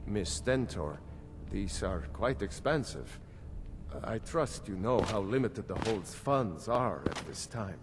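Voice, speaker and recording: A man's voice: fairly low pitch, expressive, slow pace. A man playing a dutiful royal steward in a fantasy game, formal, diplomatic and matter-of-fact. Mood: disapproving. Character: dutiful, measured male steward of royal court. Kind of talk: fantasy game character acting. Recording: game music behind.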